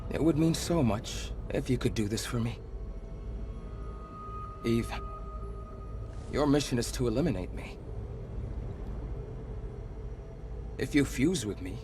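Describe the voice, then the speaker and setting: An actor playing an ancient monster leader who was once human, speaking gravely, with measured, earnest persuasion in a video game cutscene. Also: male voice, mid-range voice, natural voice. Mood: urgent, pleading. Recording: background music under the voice.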